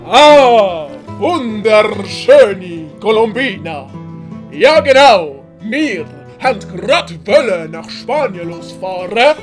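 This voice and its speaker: A woman's voice: mid-range voice, very animated, slow, faint music behind. A woman voicing a pompous, boastful male Spanish captain in a comic audio play, bossy and commanding, with a Swiss German accent.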